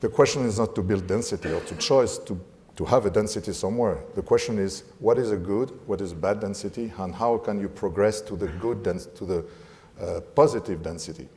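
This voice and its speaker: A man with a French accent speaking on a panel, often pausing and searching for English words. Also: on the low side, a little mellow, a little hiss or hum. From France. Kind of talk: panel discussion. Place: conference hall. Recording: reverberant conference hall.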